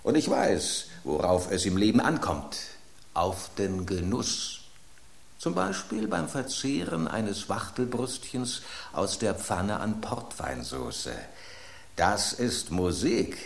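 A man's voice: fairly low pitch, very animated, takes it slowly, gravelly, reverberant, heavily acted. An actor voicing an aging male loaf of bread, an urbane, ironic epicure, in a radio play. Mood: smug.

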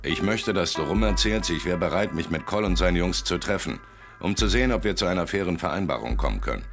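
An actor playing a gruff, calculating gangster boss who gives orders in a German radio play. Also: male voice, low-pitched, animated, fast. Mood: controlled, scheming. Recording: studio radio play under loud music.

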